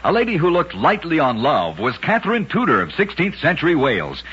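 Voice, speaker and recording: Bright, husky vintage radio storyteller, a man. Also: fairly high pitch, huge pitch swings, a little fast. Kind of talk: scripted narration of short oddity stories, vintage radio. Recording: dull vintage radio recording.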